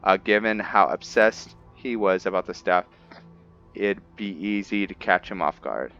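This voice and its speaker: A man with a low-pitched voice talks through a roleplay game at an unhurried pace. He is casual and hesitant, often muttering asides to himself as he plays. Music plays softly behind him. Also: muffled recording. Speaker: gamer hosting a roleplay playthrough. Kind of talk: casual game commentary, reading in-game text aloud.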